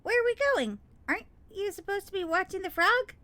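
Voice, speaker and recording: An actress voicing a small, anxious female sidekick creature in an audio drama, with a fretful, shrill, cartoonish voice. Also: animated, brisk, bright, forceful tone, full character voice. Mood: worried.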